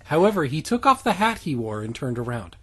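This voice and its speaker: A man's voice: on the high side, big pitch swings, fairly quick, a little hiss or hum. A man hosting a casual comic fanfiction reading, mixing narration with mocking, sarcastic asides.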